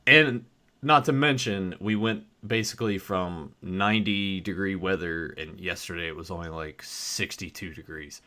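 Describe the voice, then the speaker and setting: A man's voice: sits low, moderate pace. A man co-hosting a podcast in casual chat, sounding a little stuffy, rambling with plenty of fillers. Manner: relaxed, rambling with many fillers. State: stuffy from allergies. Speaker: podcast co-host.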